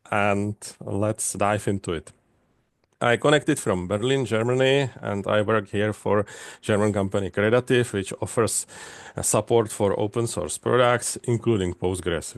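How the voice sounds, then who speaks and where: A middle-aged man with a Czech accent gives a technical presentation online, speaking haltingly with frequent pauses and repeated words. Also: lowish voice, no echo. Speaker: conference speaker, database specialist. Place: indoors, online video call.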